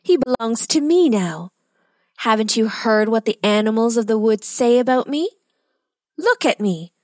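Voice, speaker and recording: A woman voicing a lonely old woman who acts like a threatening witch, loud and angry at first, then quiet and sad. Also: a little high, expressive, unhurried, no room sound, voice pushed up.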